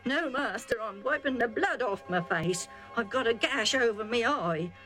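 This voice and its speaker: Woman putting on a high, frantic rustic herdsman, breathless pleading, soft music behind. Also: lively intonation, normal speed. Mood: aggrieved pleading. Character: rough excitable male herdsman, bleeding and pleading.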